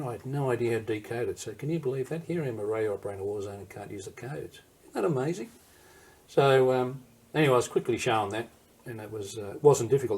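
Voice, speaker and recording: A man's voice: fairly low pitch, darkish tone, breathy edge. An older man with an Australian accent telling war memories in an interview, in a rambling, anecdotal way that wanders off and circles back. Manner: rambling anecdotal, digresses and restarts. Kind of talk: recorded interview storytelling.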